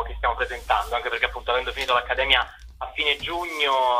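A man's voice: mid-range voice, bright, forceful tone, a little hiss or hum, poor audio. A young man answering interview questions as a theatre director, in an earnest, wordy and articulate way. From Italy.

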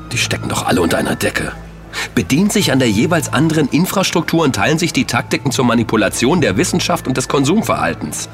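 A man playing an excitable, indignant member of an investigative team in a German audio drama, speaking emphatically. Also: mid-range voice.

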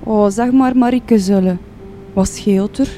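A woman's voice: mid-range voice, measured pace, dark tone, slight background noise, lightly acted. A woman playing a kind-hearted, feisty and protective young woman in a radio drama. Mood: concerned.

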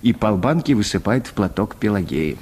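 A man's voice: on the low side, lively intonation, a little fast, darkish tone, slight background noise. A man reading scripted narration for a comic radio play as its storyteller.

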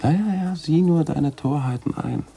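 An actor playing a jovial, teasing literary critic in a German radio play, husky and theatrical, speaking in florid, ironic phrases. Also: male voice, on the high side, moderate pace, warm tone. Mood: musing, ironic.

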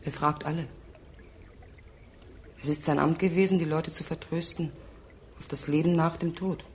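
An actress in a German radio drama playing a wounded, wistful woman who talks in expressive short phrases. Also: sits low, normal speed, a little hiss or hum, close to an everyday voice. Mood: detached.

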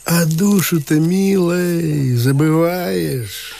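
A man's voice: a little high, extremely slow, gravelly, mellow, a little hiss or hum, heavily acted. A man acting an easygoing, idle provincial brother in a radio play, talking in a folksy, evasive drawl. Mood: complacent.

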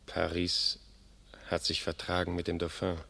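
Loyal fierce knight commander, lowish sharp voice, urgent stage declaiming. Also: male voice, monotone, unhurried, gravelly, full character voice. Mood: urgent, grave. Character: loyal, fierce medieval English prince and commander. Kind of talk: classical German verse stage acting.

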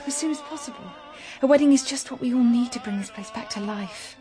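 A woman's voice: a little high, a little fast, mellow, soft background music, lightly acted. An actress playing a determined, playful young woman in love, in a radio drama. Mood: teasing, joyful. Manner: playful, teasing. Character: spirited, determined young bride-to-be.

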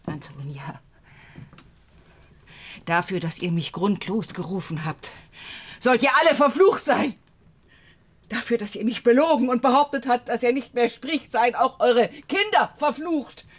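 A woman's voice: a little high, lively intonation, unhurried, long pauses. An actress playing a stern, commanding woman with an imperious, severe manner in a radio drama. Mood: wrathful, cursing. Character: stern, commanding woman, guardian and feared death-bringer.

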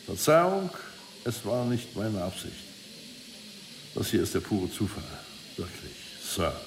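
An actor playing a sly hired accomplice in a radio drama, speaking with deferential, unhurried politeness. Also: male voice, fairly low pitch, very animated, noisy background. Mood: smoothly apologetic.

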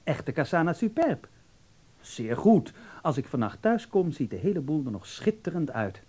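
A man's voice: fairly high pitch, sing-song, moderate pace, mellow, husky. A man acting in a Dutch radio play as a vain, worldly young dandy who teases in an arch, drawling way. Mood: vain, playful. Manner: arch, drawling, mockingly patronizing.

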